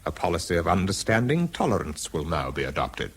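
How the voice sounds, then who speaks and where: An actor playing a weary, wry wartime weapons researcher with a British accent, narrating and talking in a dry, understated way. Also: male voice, sits low, sing-song, a little fast, slightly rough, exaggerated voice. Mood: wry, affectionate. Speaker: lead actor and first-person narrator. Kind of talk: radio drama narration and dialogue.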